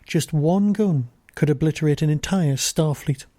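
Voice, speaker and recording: A man hosts a review podcast with prepared commentary. His voice is a little high yet mellow, recorded close and dry. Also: moderate pace, no echo. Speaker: podcast host.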